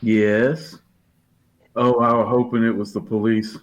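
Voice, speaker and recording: An actor playing a sour, intimidated man in a radio play, complaining gruffly. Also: on the low side, level tone, measured pace, noisy background, lightly acted. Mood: sour, resentful. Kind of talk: 1950s radio play acting.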